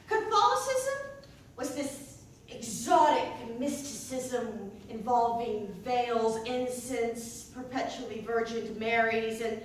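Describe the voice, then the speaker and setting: Woman performing as a high-voiced rambling mother, echoey room. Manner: rambling, halting, digressive, self-interrupting. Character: rambling, doubting, wry churchgoing mother. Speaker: actor performing a monologue. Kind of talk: theatrical comic monologue in a staged reading. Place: indoor staged reading in a reverberant room. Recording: echoey room, distant mic, faint noise.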